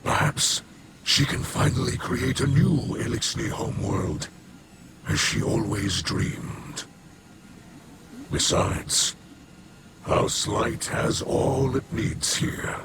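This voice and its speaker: A man's voice: mid-range voice, animated, very noisy. An actor playing a weary, solemn four-armed alien king in a game, stately and formal in his speech. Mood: grave, resolute. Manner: stately, measured. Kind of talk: formal, grave game dialogue. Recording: loud music under the voice. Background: music.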